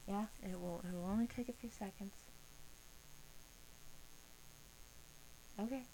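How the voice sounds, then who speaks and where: A woman playing a smug, predatory old female vampire in a soft-spoken ASMR roleplay, musing and slipping into whispers. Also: medium pitch, fast talker, a little hiss or hum, lightly acted, poor audio. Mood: doting, predatory.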